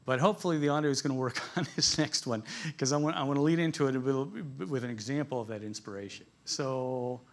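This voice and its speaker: A man's voice: medium pitch, fast talker, few pauses. Conversational man presenting at a business conference. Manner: conversational, jokey storytelling, chuckles at his own asides.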